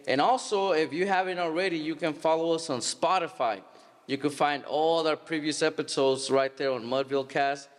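A man in his thirties from Stockton, California, hosting a church podcast interview in a halting, casual way with many restarts. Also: fairly high pitch. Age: 30s. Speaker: podcast host. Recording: long hall reverb.

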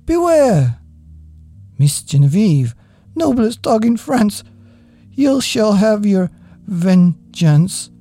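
A woman's voice: medium pitch, big pitch swings, takes it slowly, long pauses, warm tone, faint music behind, pitched above the performer's own voice. A woman voicing a brave, defiant little schoolgirl, declaiming dramatically in a children's storybook. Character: brave, defiant little schoolgirl Madeline, loyal to her dog.

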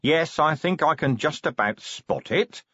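Guy doing a grumpy sarcastic police boss for an audiobook, husky, pitch jumps around, dull sound. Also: expressive, a little slow, a bit bright, no echo. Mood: weary, exasperated. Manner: gruff, dry, sarcastic. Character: grumpy, sardonic male police commander and duke. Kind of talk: audiobook character voice.

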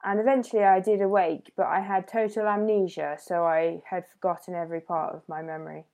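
A woman in her late thirties with a slightly low voice, talking as a guest on a podcast. She speaks chattily, often restarting and correcting herself mid-thought. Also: fairly even intonation, fairly quick, vocal fry, darkish tone. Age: 30s. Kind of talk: casual interview conversation.

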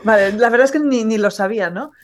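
A woman from Catalonia, a geology professor, answering interview questions in a measured, modest, explanatory way. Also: fairly high pitch. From Catalonia, Spain.

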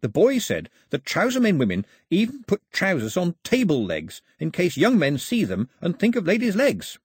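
Man voicing an eager, high-pitched young islander chatterbox. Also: wildly swooping pitch, normal speed, slightly rough, no echo, studio quality, exaggerated voice. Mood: eager, confiding. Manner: eager, emphatic, explanatory. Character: chatty, cheerful young islander man explaining foreign customs. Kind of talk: storybook character dialogue.

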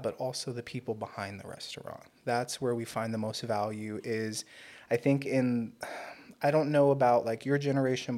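A man's voice: medium pitch, a little mellow. An American man co-hosting a casual interview podcast, talking in an informal, emphatic way. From Southern California, USA. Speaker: podcast co-host.

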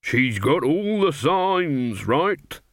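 A man reading a story, voicing a boastful, slow-witted burly woodcutter who keeps adding "right?". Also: a little high, big pitch swings, fairly bright tone, dry recording, clean studio sound, pitched above the performer's own voice, heavily acted. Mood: gossipy. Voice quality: slow, blunt, tag-questions with "right?". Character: boastful burly male woodcutter, dim and gossipy.

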